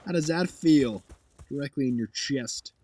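A hoarse young man commenting on his own gameplay footage, blunt and sarcastic, swearing now and then. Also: medium pitch, measured pace, a little mellow, slight background noise. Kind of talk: casual game commentary over footage.

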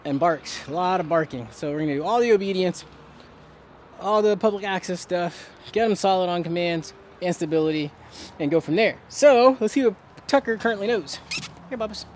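High-pitched man training a dog outdoors. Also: a little fast, a little mellow, a little hiss or hum. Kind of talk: casual talk to camera while handling a dog. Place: outdoors in a narrow fenced turf yard.

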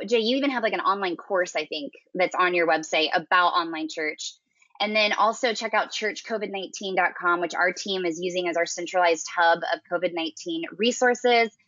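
A woman's voice: medium pitch, a bit bright, dry recording. Upbeat woman host, dry dull audio. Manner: upbeat, promotional. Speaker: interview host, business development VP. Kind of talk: webinar hosting and wrap-up. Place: her home. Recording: dry, slightly dull home webinar audio.

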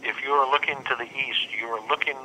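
A man's voice: mid-range voice, fairly even intonation, fast, sharp tone. A man answering a UFO reporting hotline on a phone call, patient and formal, often saying "sir" and "please".

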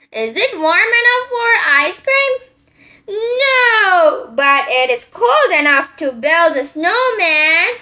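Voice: very high-pitched, bright, forceful tone. A child host reading a picture book aloud for a children's channel.

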